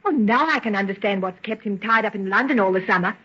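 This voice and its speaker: A woman's voice: mid-range voice, quick pace, fairly bright tone, slightly rough. A woman playing an eager, genteel young society daughter in an old radio drama. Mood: delighted, fond. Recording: dull, faintly noisy vintage radio recording.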